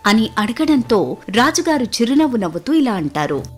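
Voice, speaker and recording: A woman's voice: fairly high pitch, sing-song, moderate pace, faint music behind. A woman narrating a scripted moral story as the storyteller.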